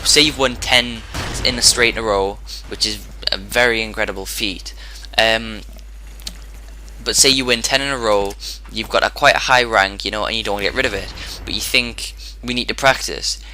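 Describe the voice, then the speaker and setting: A man with a hoarse, sore-throated voice giving casual commentary over his own gameplay, rambling and often restarting his sentences. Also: on the low side, normal speed, sharp tone, a little hiss or hum, low-quality recording. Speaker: gaming commentator.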